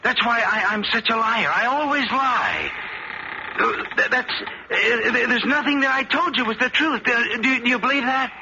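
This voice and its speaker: An actor playing a frightened, guilt-ridden sailor who stammers in scenes and narrates slowly and gravely, in a 1940s radio drama. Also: male voice, extremely high pitch, background noise. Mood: frightened, stammering. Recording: dull lo-fi old radio recording with music.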